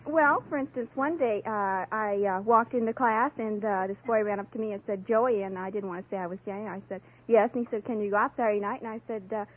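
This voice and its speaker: A woman's voice: mid-range voice, fast, hardly any pauses. A young woman with an American accent, a contestant chatting and telling anecdotes on a vintage radio quiz show. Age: young adult. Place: radio studio with live audience. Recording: muffled noisy vintage radio recording, audience.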